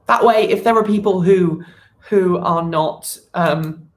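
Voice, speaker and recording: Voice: medium pitch, takes it slowly. A live stream host chatting casually and unscripted, rambling and thinking aloud. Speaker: channel host.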